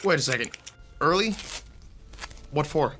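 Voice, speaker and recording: A friendly young male theater techie in a radio play. His voice is high and bright with very lively intonation, over faint music. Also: measured pace. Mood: hurried. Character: eager, friendly young college theater technician. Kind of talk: naturalistic radio drama acting.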